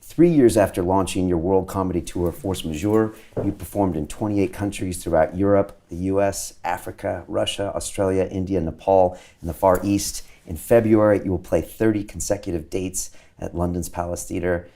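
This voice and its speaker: Male interview host with a very low voice, measured and steady, reading an intro then asking questions with plenty of "uh"s. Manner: measured, steady delivery with frequent "uh" fillers.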